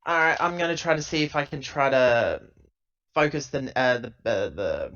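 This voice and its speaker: A man playing a tabletop roleplaying game, improvising casually and thinking out loud in a rambling way, on a lo-fi laptop recording. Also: mid-range voice, lively intonation, moderate pace.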